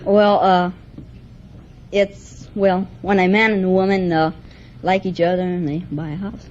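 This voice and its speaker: A man's voice: high voice, level tone, unhurried. A thirteen-year-old boy answers a radio interviewer candidly, hesitating and taking things literally. His voice sits in a heavily noisy, muffled old broadcast recording. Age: child, about 13. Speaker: quiz show contestant.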